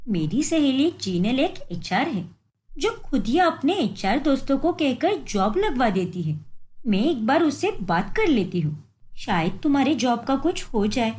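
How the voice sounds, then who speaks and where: A woman's voice: high-pitched, very animated, measured pace, darkish tone. A woman voicing an earnest, devoted young wife in a cartoon moral story, speaking emphatically. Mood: reassuring. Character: devoted young wife, supportive, righteous when wronged.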